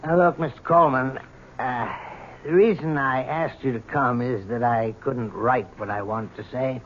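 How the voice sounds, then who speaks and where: An actor playing a humane, persuasive prison doctor with a hesitant, coaxing manner in an old-time radio drama. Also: male voice, medium pitch, moderate pace, dull audio, natural voice. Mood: earnest persuasion. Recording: thin, noisy old radio broadcast.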